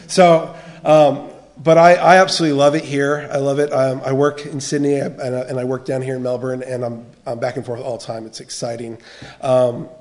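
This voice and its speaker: A man's voice: medium pitch, darkish tone. A middle-aged American man from the United States, giving an earnest church teaching talk on counseling, often restarting his phrases. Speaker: biblical counseling trainer.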